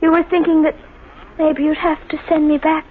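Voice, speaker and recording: An actress playing an unhinged young wife, childlike and possessive, with plaintive melodrama in a 1950s radio thriller style. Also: female voice, high-pitched, level tone, moderate pace, natural voice. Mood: cold, menacing. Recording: noisy, tinny 1950s radio recording.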